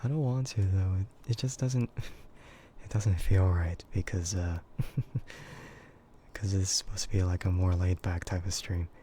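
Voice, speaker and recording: A young man streaming, talking in a soft, half-whispered voice, hesitant and full of fillers and quiet laughs. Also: deep voice, steady tone, measured pace, slight background noise. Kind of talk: soft-spoken ASMR chat with viewers.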